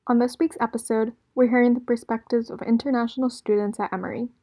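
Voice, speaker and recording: Young woman announcing the episode, higher voice. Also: steady tone, fast, warm tone. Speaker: podcast co-host. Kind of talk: scripted episode introduction.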